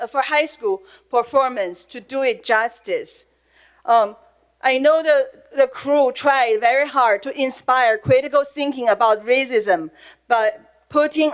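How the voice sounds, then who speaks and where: A middle-aged woman with a Chinese accent addresses a school board from prepared notes. Her voice sits slightly high. She speaks earnestly, with feeling held close to the surface. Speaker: public commenter at a school board meeting. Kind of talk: prepared public comment. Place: public meeting room.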